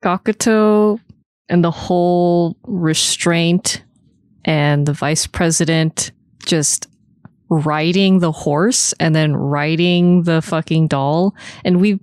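A woman with a slightly low voice gives her take in loose, sweary podcast chat. The sound is close and dry, without echo. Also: fairly even intonation, takes it slowly, a little mellow, clean tone. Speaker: podcast panelist.

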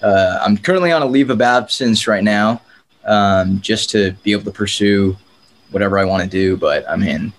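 A young man from San Diego, a filmmaker guest talking casually in an interview, relaxed and full of filler words. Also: on the low side, normal speed, slight background noise. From San Diego, California. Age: young adult. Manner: relaxed, chatty, frequent "like" fillers.

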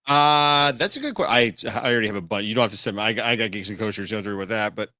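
A man with a bright, forceful voice on the low side chats casually as a podcast co-host, rambling and restarting his sentences. His voice is recorded close and dry, with no room sound behind it. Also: rapid-fire, dry recording. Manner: rambling, with frequent restarts and laughs. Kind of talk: casual chat.

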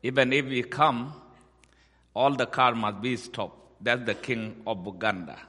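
A middle-aged man from Sudan, a missionary giving a guest sermon in slow, halting, simple English. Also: medium pitch, bright, forceful tone, in a large hall. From South Sudan.

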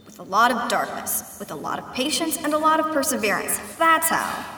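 A woman's voice: high voice, very animated, fairly quick, sharp tone, exaggerated voice. A woman playing a bossy, scheming bat-like creature villain in a theatrical radio drama. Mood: pompous, insistent. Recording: echoey hall, distant mic, faint noise.

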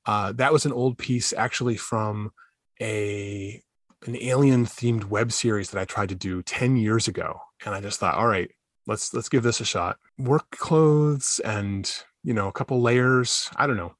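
Lowish male voice, halting and casual. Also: dry recording, studio quality. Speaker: podcast team member, costume designer. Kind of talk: casual roundtable chat.

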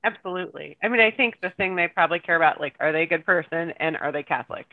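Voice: medium pitch, fast talker, no echo. Guest on a tinny phone-like line. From United States. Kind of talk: casual podcast conversation.

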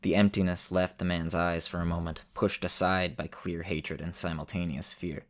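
Male fantasy narrator, low register, dramatic scripted delivery, deliberate phrasing and weighted pauses. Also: level tone.

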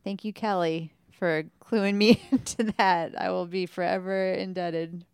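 Woman in her thirties, podcast co-host: small light voice with fry, dry close sound, wry and teasing. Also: on the low side, steady tone, a little slow, no echo. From Tennessee. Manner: wry, teasing, laughs often. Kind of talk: casual chat.

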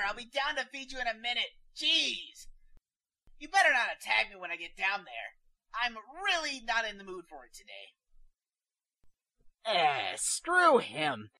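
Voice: mid-range voice, very animated, normal speed, creaky, pushed and bright, no room sound, exaggerated voice. An actor playing a foul-mouthed, hot-tempered young troll programmer in a fan radio play, ranting with exasperated sarcasm. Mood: irritated grumbling. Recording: music behind the voice.

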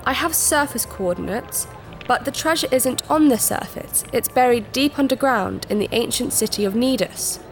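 A woman plays a grand alien princess in a sci-fi audio drama. Her voice is high, her phrasing formal, imperious and theatrical, with a scheming edge and soft music behind. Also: moderate pace, lightly acted. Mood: assured.

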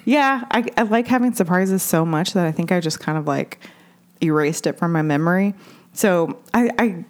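A woman's voice: on the low side, moderate pace. An American woman in her forties chatting casually and candidly as a co-host, with some swearing. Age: 40s. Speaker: podcast co-host. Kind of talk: casual chat.

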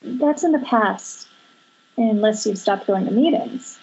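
A woman's voice: medium pitch, measured pace, darkish tone, breathy edge. Woman playing an earnest young woman, muffled call audio. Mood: warm, earnest. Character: Alice, earnest young woman graduate devoted to her father. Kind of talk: amateur script table read. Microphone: Skype call. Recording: dull lo-fi internet call.

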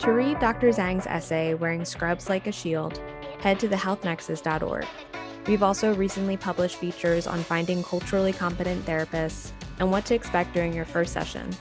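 A woman's voice: fairly low pitch, steady tone, normal speed. A woman hosting with scripted podcast-style narration. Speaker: host and narrator. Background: music.

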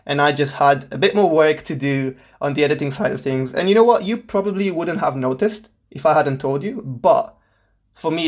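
Earnest, upbeat young male podcast host. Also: a little high. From Portugal. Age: 20s. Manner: enthusiastic, earnest. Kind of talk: scripted podcast introduction.

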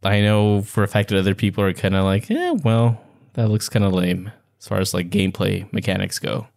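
A man with a fairly low voice, recorded close and dry. He talks casually as a podcast co-host, feeling his way through halting phrases. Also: steady tone, creaky, a little mellow, dry recording. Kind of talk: casual chat.

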